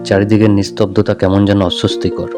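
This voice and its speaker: Low-voiced man narrating a horror story. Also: little pitch movement, fairly quick. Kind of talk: scripted first-person horror story narration. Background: music.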